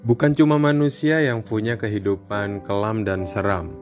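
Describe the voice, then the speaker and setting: A man's voice: fairly low pitch, fairly even intonation, moderate pace. A man hosting a horror podcast, reading scripted narration. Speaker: podcast host. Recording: music bed behind the voice. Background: background music.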